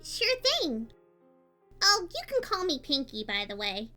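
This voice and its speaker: A woman voicing a cheerful, hyper young female party pony in a fan fiction audio story, girlish and expressive. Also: a little slow, heavily acted. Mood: cheerful, bubbly. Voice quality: high-pitched, girlish. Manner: bubbly, expressive. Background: music.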